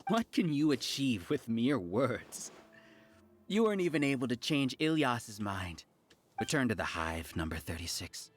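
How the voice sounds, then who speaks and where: Arrogant scholar villain, middle pitch, highly expressive, theatrical, condescending, cult-leader air, video game dialogue. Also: male voice, unhurried. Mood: menacing.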